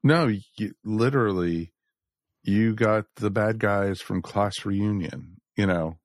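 A man's voice: lowish voice, slow, dry recording, clean studio sound. A man chatting casually and enthusiastically as a panelist on a podcast. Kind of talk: casual chat.

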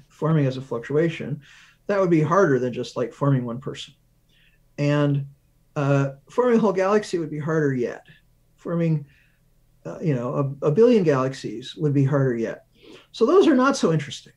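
A man giving a measured, deliberate explanation as an expert guest in a science interview, stressing key words. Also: fairly high pitch, a little mellow. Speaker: guest research professor, physicist.

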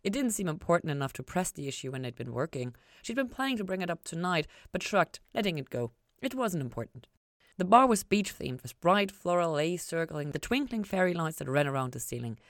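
A woman reading fiction aloud as an expressive narrator on a podcast. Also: on the low side, fairly quick, dry recording, studio quality. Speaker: reader of a fan fiction podcast.

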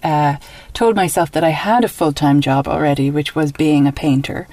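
A woman with an Irish accent speaks in a low voice. She is a guest in a conversational interview, sharing personal stories in an informal way. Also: steady tone, normal speed, warm tone, no echo. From Dublin, Ireland.

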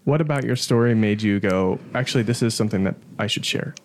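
A man narrating a church testimony video and interviewing a member of the church community in an earnest, measured way. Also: lowish voice, no echo, clean studio sound.

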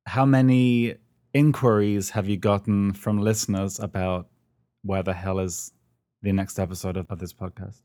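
A man's voice: lowish voice, fairly even intonation, normal speed, dark tone, no echo. A middle-aged man co-hosting a podcast over a call, talking casually with many ums and a dry, self-mocking humor. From United Kingdom. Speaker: podcast co-host. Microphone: remote call.

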